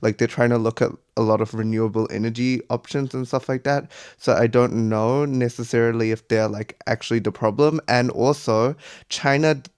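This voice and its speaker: A man's voice: mid-range voice, fairly even intonation, moderate pace, a little mellow, clear, resonant tone. A man chimes in during a friendly conversation. He sounds thoughtful and hedges his points, and his speech is filled with "like" and "stuff like that.". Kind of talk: casual chat among friends.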